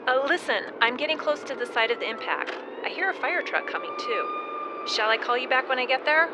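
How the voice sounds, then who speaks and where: A woman playing an excited radio journalist phoning in a live report from the roadside in a radio drama. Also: high voice, a little fast. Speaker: on-scene radio caller. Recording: noisy outdoor phone call with vehicle ambience.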